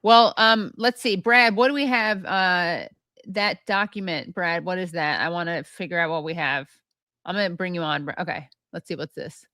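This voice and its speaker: A woman hosting a live-streamed interview show, talking briskly and a bit scattered as she juggles her producer and her viewers. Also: on the low side, sharp tone, dry recording, clean studio sound. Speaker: show host.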